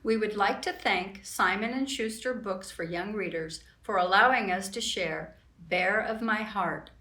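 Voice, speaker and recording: A woman reads to young children in an expressive, unhurried storytime style. She speaks directly to her little listeners as a librarian host would. The recording is roomy and echoing, with her voice some way from the mic. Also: medium pitch. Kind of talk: children's storytime read-aloud with sing-song rhymes. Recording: roomy echo, distant from the mic.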